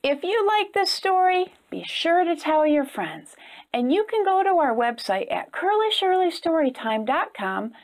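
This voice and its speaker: A woman reading a storybook aloud to young children in an expressive storytime style. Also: extremely high pitch, a little slow. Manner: expressive, simple phrasing aimed at young children. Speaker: children's storytime reader and host.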